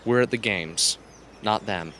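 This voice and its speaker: A man playing an earnest, gentle teenage boy in an amateur radio drama, patient and persuasive. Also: fairly low pitch, measured pace, slight background noise. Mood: reassuring.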